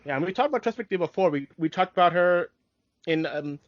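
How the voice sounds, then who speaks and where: A man's voice: high-pitched, lively intonation, brisk, no room sound. A man hosting a podcast in casual, fannish conversation. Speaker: podcast host. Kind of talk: casual fan podcast chat. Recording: dry, dull lo-fi recording.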